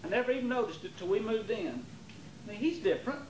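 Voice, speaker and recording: A troubled young nephew in a stage drama, acted by a man, loyal and protective of his uncle. His pitch moves animatedly. The sound is an echoey rehearsal tape from a theater. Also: lively intonation, quick pace, darkish tone. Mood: troubled, indignant. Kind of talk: amateur stage play acting. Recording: roomy, noisy 1980s theater rehearsal tape.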